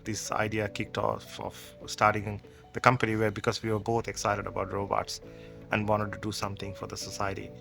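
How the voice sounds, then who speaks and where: A man speaks in a low, gravelly yet bright voice. He answers interview questions thoughtfully and conversationally, explaining ideas through examples. Soft music plays underneath. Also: monotone, brisk. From Sri Lanka. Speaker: company co-founder.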